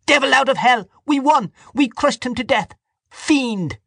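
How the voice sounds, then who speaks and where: A man voicing a fierce, devout older woman in an audiobook, shrill, righteous and outraged. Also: a little slow, vocal fry, pushed and bright, no room sound, clean studio sound, exaggerated voice. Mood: screaming rage. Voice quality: shrill, screaming. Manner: vehement, righteous, scolding.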